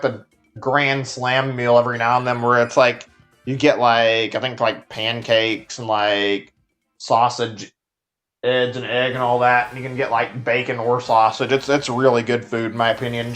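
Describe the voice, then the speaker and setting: A man's voice: mid-range voice, a bit bright. A man from Texas with a Texan accent, chatting loosely to his live-stream viewers as he plays, with lots of likes and restarts. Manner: rambling, filler-heavy, answers chat as he plays. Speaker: game streamer. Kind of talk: casual live-stream chat while playing.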